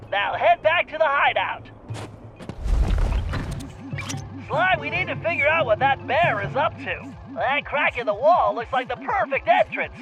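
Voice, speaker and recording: A brainy, anxious little turtle from a cartoon video game. His voice is very high and nasal, and he speaks in precise, wordy instructions. Music plays clearly behind him. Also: sharp tone, muffled recording, full character voice. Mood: cautious, instructive. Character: brainy, anxious male turtle tech sidekick giving mission orders. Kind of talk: animated video game dialogue.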